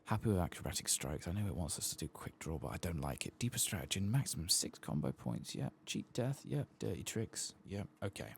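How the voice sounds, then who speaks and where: A soft-spoken man hosting an ASMR gaming video, thinking aloud casually in a hushed voice as he plays. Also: deep voice, big pitch swings, brisk, creaky, hardly any pauses, slight background noise.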